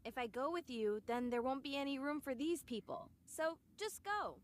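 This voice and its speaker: A woman's voice: sits high, lively intonation, moderate pace. A woman voicing a kind, reserved and steadfast female soldier in an English-language video game. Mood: firm, polite.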